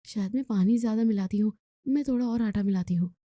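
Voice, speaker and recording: A woman's voice: mid-range voice, fast talker, warm tone, no room sound, studio quality, lightly acted. A woman voicing a hapless young daughter, unsure and complaining over housework, in a storybook tale. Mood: dismayed.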